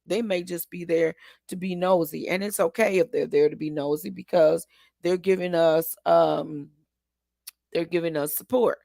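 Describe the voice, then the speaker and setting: Hoarse woman host with a gravelly, dry sound; rambling and encouraging, many ums. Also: lowish voice, steady tone, moderate pace, dark tone, no echo. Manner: encouraging, asks listeners to agree. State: hoarse today. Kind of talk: casual motivational talk.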